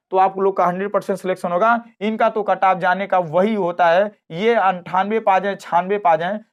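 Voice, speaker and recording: An exam coaching teacher speaks. The delivery is informal and emphatic. Key phrases are repeated, with frequent checks that listeners are keeping up. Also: mid-range voice. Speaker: math teacher at a coaching class. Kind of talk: informal exam cut-off explainer.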